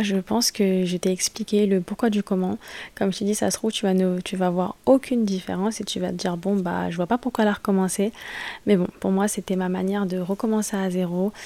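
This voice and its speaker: A young woman in her late twenties talks into a podcast microphone in a loose solo monologue. She is spontaneous, hesitates often, and keeps restarting her sentences. Her voice sits in an ordinary middle pitch. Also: fairly even intonation, fairly quick, gravelly, dark tone. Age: late 20s. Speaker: podcast host.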